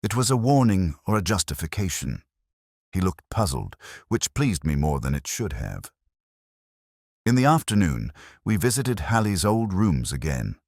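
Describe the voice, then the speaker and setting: Very deep, brooding detective played by a man. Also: sing-song, a little mellow, no room sound, clean studio sound, exaggerated voice. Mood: dry, wry. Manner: measured, literary, brooding. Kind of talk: scripted first-person mystery narration.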